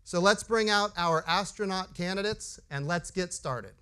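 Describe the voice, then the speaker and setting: A middle-aged man hosting a live panel as an agency administrator, warm and prone to restarts and repeated words. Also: sits high, normal speed, over music.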